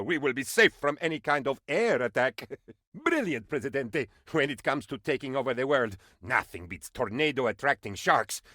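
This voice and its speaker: A man voicing an eager, fawning presidential aide in a comedic video game, obsequious, excitable and melodramatic. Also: mid-range voice, dry recording, studio quality. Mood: proud, excited. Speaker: game advisor character. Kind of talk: theatrical comedic game voice acting.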